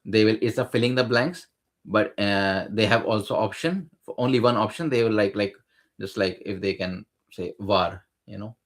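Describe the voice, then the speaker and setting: Low-voiced man explaining with many fillers. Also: normal speed. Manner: hesitant, many fillers and restarts. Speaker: guest sharing exam experience. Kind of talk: casual conversational explanation.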